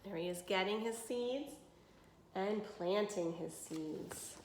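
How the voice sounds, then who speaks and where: A woman reading a children's story aloud with expression, pausing to explain and put questions to her listeners. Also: mid-range voice, darkish tone, echoey room, slight background noise. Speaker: teacher reading a picture book aloud.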